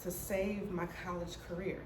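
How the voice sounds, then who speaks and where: A woman's voice: lowish voice, flat delivery, moderate pace, noisy background. Woman who stutters, roomy distant sound. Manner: stutters, candid and humorous. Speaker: speaker telling her story. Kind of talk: personal story talk to an audience. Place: hall before an audience.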